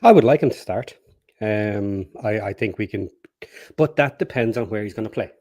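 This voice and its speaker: A hoarse man joining a football podcast as a guest, speaking in a measured, hesitant way with many pauses. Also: lowish voice, darkish tone, dry recording, clean studio sound. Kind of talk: casual chat.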